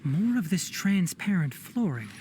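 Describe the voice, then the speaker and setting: A man voicing a calm, perceptive young forest scholar in a video game, explaining things in a measured way. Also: high-pitched, slight background noise. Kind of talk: game dialogue acting.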